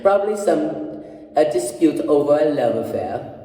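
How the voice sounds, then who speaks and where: Man as dyspeptic elderly lady, haughty, genteel, drawling, mellow, echoey room. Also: fairly high pitch, big pitch swings, measured pace. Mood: airily dismissive. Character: Euphronia, languid haughty dyspeptic old society mother. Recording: echoey room, distant microphone.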